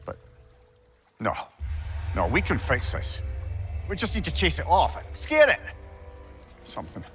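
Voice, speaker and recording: Animated, witty old talking head. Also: male voice, a little high, measured pace, faint music behind, exaggerated voice. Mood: desperate. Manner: wry, eloquent, emotive. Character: talkative, witty, tender-hearted old severed head. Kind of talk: cinematic video game acting.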